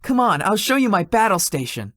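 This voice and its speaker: Guy doing a lazy awkward young tech founder in a visual novel, kinda hesitant, pitch wanders a lot, dry sound. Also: very animated, fairly quick, a bit bright, no room sound. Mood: matter-of-fact. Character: Sloth, lazy, sweet-toothed young male tech company founder. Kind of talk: visual novel character dialogue.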